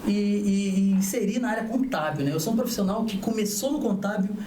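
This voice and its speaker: A man, a tax accountant, recounting his path as a podcast guest. He talks in a relaxed way, restarting phrases and adding little tag questions. The room is echoey, with a faint noise floor. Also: sits high, a little fast. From Niterói, Rio de Janeiro state, Brazil. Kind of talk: interview guest telling his career story.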